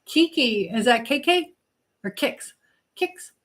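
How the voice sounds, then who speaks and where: A woman's voice: a little high, animated, slow pace, a bit bright. A woman hosting a casual live chat, talking directly to viewers and reading out their comments in a conversational way. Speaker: livestream host. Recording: noticeable background noise with clicks.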